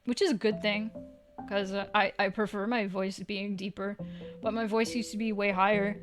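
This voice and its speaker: A woman's voice: mid-range voice, level tone, normal speed, music in the background. A woman livestreaming, chatting casually with viewers in a playful, teasing way and swearing now and then.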